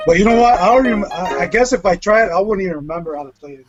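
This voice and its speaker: A man's voice: high-pitched, expressive, fast talker, highs cut off, over music. A man, a guest musician, chatting in an easygoing, enthusiastic way. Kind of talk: casual chat about music.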